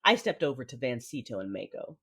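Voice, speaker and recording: An actress playing a cold, commanding Sith mother who narrates her own story in a measured, menacing, controlled manner. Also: extremely low pitch, sing-song, pushed and bright, breathy edge, full character voice. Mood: cool, commanding. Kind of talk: first-person audio drama narration with dialogue. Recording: music under the voice.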